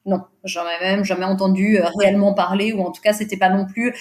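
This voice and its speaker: A woman in her thirties, a native Portuguese speaker, talking as a podcast guest in a relaxed, hesitant way, with many fillers and easy laughter. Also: medium pitch, fast talker. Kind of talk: casual interview chat.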